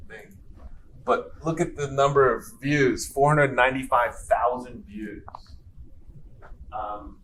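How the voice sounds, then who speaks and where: Bright-voiced instructor, distant from mic. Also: male voice, medium pitch. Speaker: instructor moderating a guest lecture. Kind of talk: informal classroom talk. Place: classroom. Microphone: far from the speaker. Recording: distant mic, noisy room.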